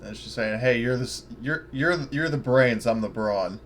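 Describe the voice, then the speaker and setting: A man's voice: medium pitch, normal speed, a little hiss or hum. A man playing a tabletop game, chatting casually and explaining things in a plain way.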